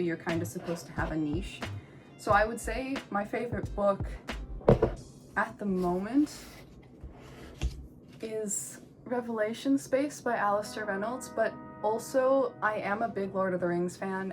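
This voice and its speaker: A woman's voice: mid-range voice, steady tone, moderate pace, music in the background. A young woman, a co-host and art history student, chatting casually with fillers and giggles. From United States. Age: young adult. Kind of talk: casual chat. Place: indoors, a room with no light on.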